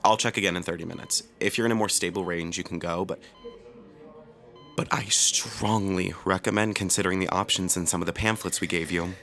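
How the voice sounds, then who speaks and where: Bright, low-pitched male nurse. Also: fairly even intonation, a little fast, soft background music. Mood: clinical. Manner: clinical, matter-of-fact. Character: businesslike but considerate male hospital nurse. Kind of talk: naturalistic audio drama acting.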